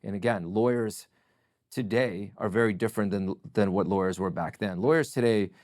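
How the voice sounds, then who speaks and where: Russian-native man, middle-aged, deep voice, dry close mic, thoughtful interview guest. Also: darkish tone, no room sound. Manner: reflective, measured storytelling. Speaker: podcast guest. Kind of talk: casual interview chat.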